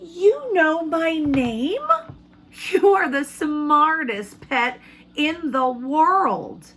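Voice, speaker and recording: Woman doing an excited, high-pitched young boy. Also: drawn-out words, slight background noise, heavily acted. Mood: amazed. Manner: wide-eyed, proud, exclaiming. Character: excited, amazed young boy with a pet fly.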